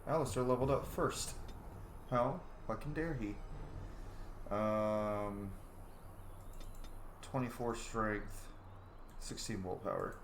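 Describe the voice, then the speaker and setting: A man hosting a let's play, commenting casually and excitedly, swearing and humming along as he plays. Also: on the low side, slow, pauses often, slight background noise. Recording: echoey room. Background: game music.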